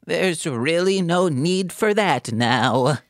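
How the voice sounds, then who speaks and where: A woman's voice: sits low, animated, slow pace, no echo, clean studio sound, full character voice. A woman voicing a creepy, doting space-alien man who runs a roller rink, with sing-song menace, in a comedic table read. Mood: smooth scheming.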